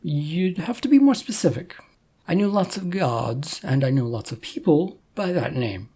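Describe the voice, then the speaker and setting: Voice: mid-range voice, expressive, moderate pace, mellow, breathy edge. A narrator voicing a smug, evasive god with a grandiose, dismissive manner in a story reading. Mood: defensive, huffy. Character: smug, breezy, evasive god founding his own religion.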